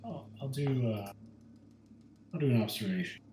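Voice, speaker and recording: A man's voice: mid-range voice, moderate pace, warm tone, a little hiss or hum. A man taking part in a tabletop roleplaying game with casual table talk, recorded in an echoey room.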